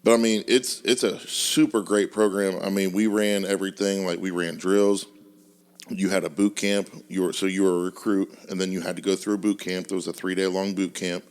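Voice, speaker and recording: A man in his late twenties co-hosting a podcast, chatting casually in a relaxed, slangy way and telling stories with frequent laughs. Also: low-pitched, fairly quick, smooth. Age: 20s. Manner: laid-back, slangy storytelling, laughs often. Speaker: podcast co-host. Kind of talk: casual chat.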